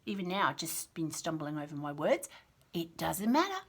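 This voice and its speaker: A woman's voice: low-pitched, sing-song, moderate pace, husky. A woman reading to toddlers as a children's storyteller, encouraging and sing-song, carefully sounding out words with chatty asides. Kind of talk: read-aloud picture book lesson for toddlers, chatty asides.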